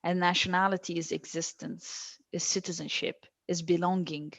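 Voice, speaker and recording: A woman's voice: fairly low pitch, little pitch movement, moderate pace, fairly bright tone, dry recording. A woman, a guest who answers with emphatic, repeated phrases. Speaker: guest, stateless-rights advocate. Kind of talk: earnest interview answers.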